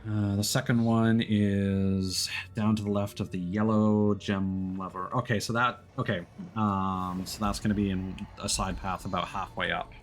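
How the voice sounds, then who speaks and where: A man giving casual commentary while playing a co-op game, guiding a teammate and thinking aloud haltingly. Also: lowish voice, flat delivery, soft background music. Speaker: streamer guiding a co-op player.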